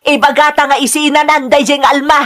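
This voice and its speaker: A woman's voice: high-pitched, fairly even intonation, quick pace, a bit bright. A woman acting a worried, gossiping older mother in an Ilocano drama, scolding and disapproving.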